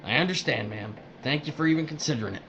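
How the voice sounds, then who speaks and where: An actor playing an obedient, ruthless underling in an audio drama, speaking in a clipped, deferential way. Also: male voice, mid-range voice, quick pace, a bit bright, muffled recording, a little hiss or hum, lightly acted. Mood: eager, obedient. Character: eager, servile male underling, obedient and ruthless.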